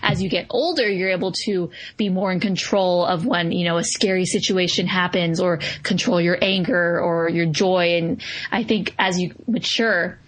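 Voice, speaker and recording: A woman's voice: lowish voice, steady tone, normal speed, poor audio. A woman podcast co-host in a casual analytical discussion, explaining her opinions reflectively. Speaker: podcast co-host reviewing films.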